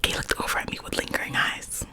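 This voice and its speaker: A woman reading a story aloud in a whisper, stopping now and then to comment and laugh. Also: fast. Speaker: story reader.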